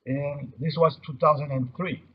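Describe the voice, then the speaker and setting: A very husky, breath-roughened man speaks with a Brazilian accent, as a native Portuguese speaker. He explains his material in a halting webinar presentation, often repeating words. The audio is somewhat muffled and lacking in treble. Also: on the high side, steady tone. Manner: halting, repeats words while searching for phrases. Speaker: webinar presenter, mobility observatory coordinator. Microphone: online webinar connection.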